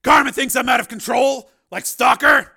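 A man voicing an intense, agitated action hero in an audiobook, speaking loudly and emphatically with a strained delivery. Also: very high voice, moderate pace, creaky, breathy edge, pitched above the performer's own voice, full character voice. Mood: indignant.

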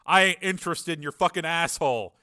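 A man voicing a gruff, bullying army sergeant in an audiobook, coarse and commanding. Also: fairly high pitch, a little fast, sharp tone, pitched above the performer's own voice.

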